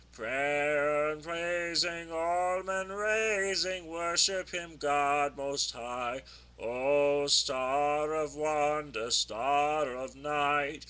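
Male carol singer, fairly high, smooth and resonant, very unhurried phrasing. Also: bright, forceful tone. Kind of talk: slow melodic carol singing, unaccompanied.

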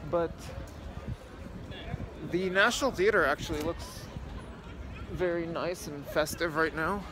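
A man's voice: fairly high pitch, measured pace, background noise. A young man chatting to his phone camera held at arm's length as he walks through a city square at dusk, giving a casual tour. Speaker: vlogger. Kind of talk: casual walk-and-talk vlog.

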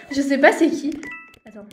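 Excitable woman with a head cold. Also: high voice. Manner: excitable, yelps and laughs often. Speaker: gaming youtuber. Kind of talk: casual gameplay commentary. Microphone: no proper mic, built-in device mic. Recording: echoey room, game music behind.

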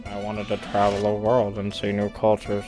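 A man's voice: on the low side, monotone, normal speed, darkish tone, soft background music, natural voice. A man playing a skeptical, condescending griffin traveler in a parody cartoon, with dry, hesitant questions.

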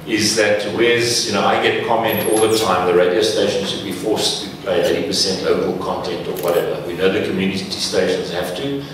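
A man speaking as a panelist, explaining things in a measured, matter-of-fact way. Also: low-pitched, steady tone. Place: large lecture hall. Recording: echoey hall, distant, noisy.

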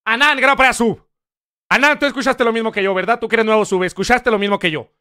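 A man's voice: very high-pitched, fairly even intonation, fast talker, bright, forceful tone, clear, resonant tone. A Mexican man streaming, reacting to clips in joking, crude casual commentary.

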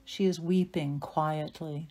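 A woman reading scripted narration and stage directions for an audio play in a measured, atmospheric way. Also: sits low, steady tone, mellow.

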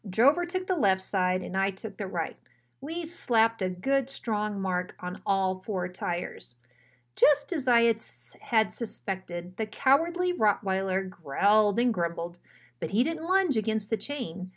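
A woman reads a children's book aloud with expressive storytelling. Her voice sits at an average pitch for a woman. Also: measured pace. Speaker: school principal reading aloud.

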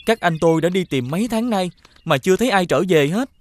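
A man playing a kind, humble young prince in a cartoon, polite and gentle. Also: sits high, lively intonation, fast. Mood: earnest. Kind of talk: animated fairy tale acting. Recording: music behind the voice.

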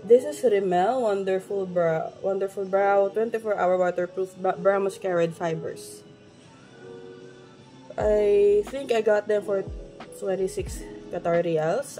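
A woman's voice: mid-range voice, warm tone. A young woman from the Philippines, chatting self-consciously through a makeup routine to a phone camera in her bedroom. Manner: slow, self-conscious and rambling, with many restarts. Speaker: beauty vlogger. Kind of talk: casual get-ready-with-me makeup talk. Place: bedroom at home, indoors. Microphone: phone camera at arm's length. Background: background music.

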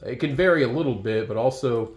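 A man's voice: mid-range voice, quick pace. A middle-aged man hosting a workshop video, speaking emphatically and addressing the viewers as folks. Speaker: video host. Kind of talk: enthusiastic host commentary. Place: wood-walled workshop, indoors.

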